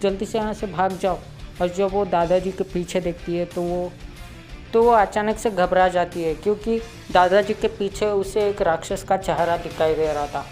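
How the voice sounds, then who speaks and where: Hoarse teenage boy chatting casually. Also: sits high, fairly even intonation, moderate pace, darkish tone, soft background music. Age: late teens. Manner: chatty, full of "matlab" fillers. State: sore throat. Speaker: YouTube channel host. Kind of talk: casual cartoon episode recap.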